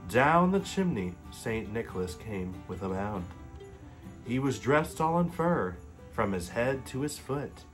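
Guy in his late 20s reading a bedtime story, gentle and easygoing, faint guitar behind. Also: medium pitch. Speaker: storybook reader. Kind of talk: reading a Christmas poem aloud. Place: living room couch, indoors. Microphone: webcam facing him at close range.

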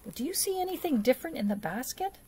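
A middle-aged woman reads and discusses a picture book for young children, expressively and deliberately, with dramatic emphasis. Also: sits high, sing-song, a little hiss or hum. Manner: deliberate, asks the listener questions, dramatic hushes and emphasis. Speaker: storyteller for a church children's program. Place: small indoor setup before a plain backdrop.

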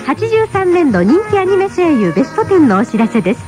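High-pitched female radio announcer over music. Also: very animated, a little fast.

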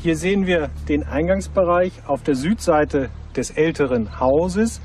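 A man's voice: fairly high pitch, unhurried, a little mellow, faint music behind. A male archaeologist giving a matter-of-fact, step-by-step explanation on site at an outdoor excavation.

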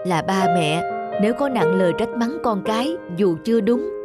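Gentle, earnest young man. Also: high voice, expressive, normal speed, over loud music. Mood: gently reproving. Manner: gentle, reasoning. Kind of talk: animated cartoon dubbing.

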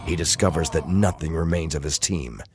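A man's voice: very deep voice, normal speed, background noise. A man giving scripted, dramatic narration as the narrator of an audio drama.

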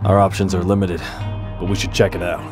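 Deep-voiced, determined game hero. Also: male voice, flat delivery, a little fast. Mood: resolute. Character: steady, determined young male action hero and team leader. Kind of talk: video game cutscene acting. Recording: game audio under loud music.

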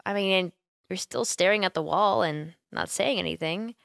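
A woman playing a caring, playful young female roommate who gently coaxes and teases her friend in an intimate ASMR roleplay. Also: lowish voice, moderate pace, creaky, dry recording, clean studio sound. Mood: affectionate, coaxing. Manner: playful, gently coaxing, giggly, hesitant. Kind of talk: ASMR roleplay, intimate one-sided conversation.

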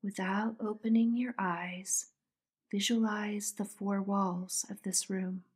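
A woman softly narrating a guided meditation in a soothing, breathy manner. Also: mid-range voice, flat delivery, slow. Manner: soft, breathy, soothing delivery.

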